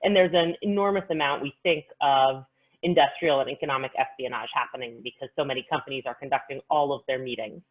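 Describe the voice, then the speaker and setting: A woman giving articulate, measured explanations as an expert panelist on a video call. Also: very deep voice. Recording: narrow, phone-like call audio.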